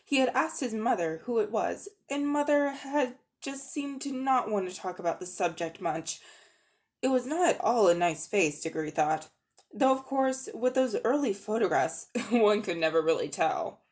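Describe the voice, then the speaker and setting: A woman reads a storybook aloud as its narrator. Her delivery is dramatic and very lively in pitch, sitting in a middle register. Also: moderate pace.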